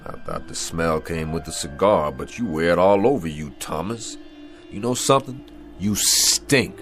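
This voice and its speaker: An actor playing a proud, bitter, aging boxer in a radio drama, talking in street-tough slang. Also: male voice, deep voice, lively intonation, normal speed, fairly bright tone. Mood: defiant. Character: proud, bitter over-the-hill male boxer named Bolie Jackson. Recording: studio radio play with music underneath.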